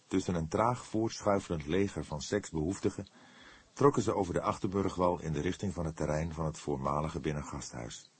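A man reads a detective novel as a dramatic, measured and suspenseful audiobook narration. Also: low-pitched, a little mellow, slightly rough.

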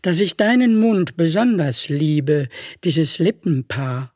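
A woman reciting lyrical poetry with measured, solemn phrasing. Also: low-pitched, animated, dark tone, no room sound. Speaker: poetry reader. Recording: dry studio recording over music. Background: music.

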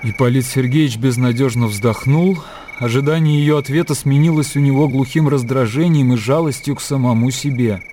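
A man's voice: mid-range voice, moderate pace. A man reading scripted literary narration as the narrator of a radio drama. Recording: clear outdoor ambience noise behind.

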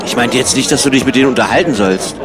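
A man's voice: medium pitch, quick pace, crowd noise, close to an everyday voice. A man playing a wry pub-goer and storyteller in a comic radio play. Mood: casual musing. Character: wry man in a pub planning an absurd party. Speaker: narrator and character in a radio play. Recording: music behind the voice. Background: music.

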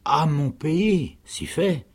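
Man doing a scared young peasant woman from an old novel, soft halting murmur, husky and gravelly. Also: on the low side. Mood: bewildered, simple. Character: frightened, bewildered young peasant mother, meek and simple. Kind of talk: dramatic literary reading.

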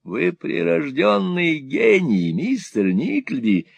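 A sly old moneylender with a high, cackling voice, all wheedling flattery and gushing cries. The sound is dry and close, with no room around it. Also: male voice, slow pace, vocal fry, husky, no room sound, heavily acted. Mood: fawning. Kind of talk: audiobook reading.

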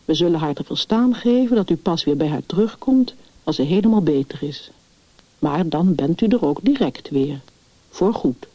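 Woman reading as an assured, mellow-toned family doctor. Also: low voice, no echo. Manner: deliberate, authoritative, explanatory. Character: grave, assured male family doctor giving firm advice. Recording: dull, muffled lo-fi recording.

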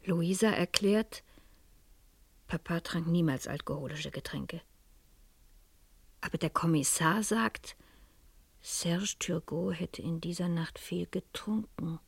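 A woman's voice: fairly low pitch, slow pace, creaky, pauses often, slightly rough, no echo, full character voice. An actress playing a determined, inquisitive woman sleuth in a radio crime drama, speaking formally and probingly. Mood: pensive, tense. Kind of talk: radio crime drama, dialogue and first-person narration.

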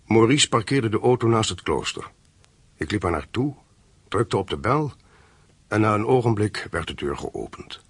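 An actor in a radio play narrating as a cool, methodical criminal in a matter-of-fact way. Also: male voice, lowish voice, sing-song, moderate pace, breathy edge, no echo, exaggerated voice.

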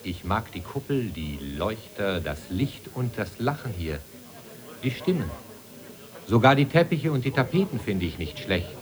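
An actor playing a self-satisfied coat thief in a literary radio play, declaiming deliberately in lists and repetitions. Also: male voice, on the low side, very animated, a crowd in the background. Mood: self-assured, savouring. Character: self-satisfied man confessing coat theft, poetic and enumerating.

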